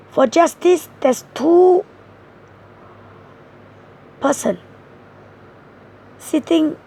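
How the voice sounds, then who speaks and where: A hoarse woman giving a tarot card reading, explaining each card point by point in an earnest way. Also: high voice, darkish tone. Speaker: tarot reader.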